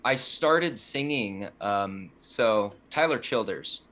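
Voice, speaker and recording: A man's voice: fairly low pitch, lively intonation, slow pace, a bit bright. Easygoing young man telling stories. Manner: easygoing, self-deprecating storyteller. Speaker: guest musician. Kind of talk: casual interview chat.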